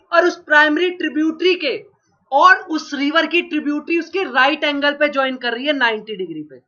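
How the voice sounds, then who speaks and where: Man teacher, sore cold throat, high bright voice, lo-fi dull recording. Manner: drills points by asking and repeating answers. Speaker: geography teacher. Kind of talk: online exam-prep lecture.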